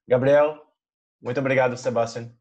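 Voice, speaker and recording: Brazilian man moderating, medium-pitched voice. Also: steady tone, moderate pace, darkish tone, muffled recording. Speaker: teacher moderating a discussion. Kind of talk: informal moderating. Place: video call.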